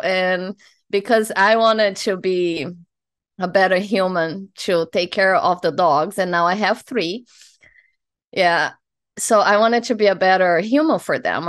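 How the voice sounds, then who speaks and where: A woman's voice: mid-range voice, clear, resonant tone. A woman in her thirties from Brazil with a Brazilian Portuguese accent, chatting in a friendly way as a hypnotherapist introducing herself on a video call, laughing easily and making small slips in English. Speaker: hypnotherapist host. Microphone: headphones on a video call.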